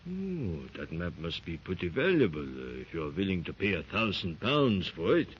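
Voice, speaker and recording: A man's voice: low voice, sing-song, normal speed, slight background noise. An actor playing a greedy, sly male hunter for hire in a 1930s radio drama, drawling and probing with many fillers, on a muffled old recording. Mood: scheming. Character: greedy rough male hired hunter, mercenary.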